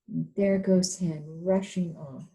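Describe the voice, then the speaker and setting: A woman reading a storybook aloud as a kindergarten teacher, expressive and pausing to ask young children questions and point things out. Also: fairly low pitch, rough recording.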